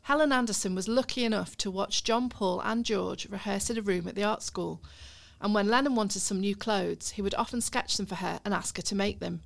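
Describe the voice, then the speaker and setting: A woman's voice: medium pitch, fairly quick, gravelly, few pauses. A woman podcast host reading a scripted introduction and asking her co-host short questions.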